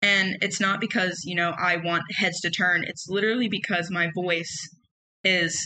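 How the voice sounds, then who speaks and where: A young woman with a loud, emphatic voice, telling personal stories in a casual solo podcast. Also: on the low side, fairly even intonation, normal speed, slight background noise, low-quality recording. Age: young adult. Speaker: podcast host.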